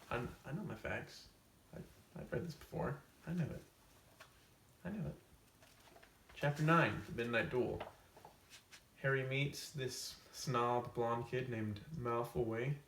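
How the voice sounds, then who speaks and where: A man talks to camera as the host of a comic storytime, with a casual, tongue-in-cheek delivery and deadpan asides. His voice sits at a middle pitch. It is picked up from a distance in an echoey room, with faint noise underneath. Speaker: host of a comedic book summary video. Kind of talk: mock storytime skit to camera, casual book summaries. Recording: roomy echo, off-mic, faint hiss.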